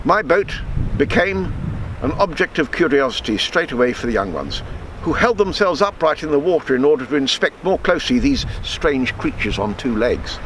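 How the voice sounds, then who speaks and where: Older man storytelling with very lively intonation. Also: fairly high pitch, slight background noise, low-quality recording. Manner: measured, with stumbles and repeats in reading. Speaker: storyteller reading his book. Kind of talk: narrated true sea tale, read aloud.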